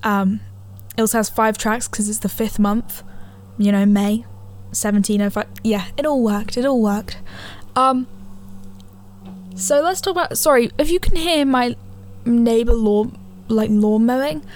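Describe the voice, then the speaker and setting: A teenage girl hosting a solo podcast from her living room on a handheld mic, chatting casually, rambling and correcting herself, her voice a little gravelly from a cold. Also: a little high, unhurried, a little mellow. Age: teens. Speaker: podcast host. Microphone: handheld studio mic.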